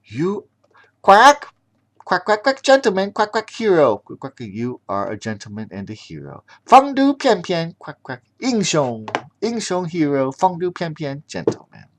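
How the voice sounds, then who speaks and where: A storyteller voicing a grateful lady duck who speaks softly and quacks between her words. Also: medium pitch, huge pitch swings, measured pace, voice pushed up, exaggerated voice. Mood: admiring.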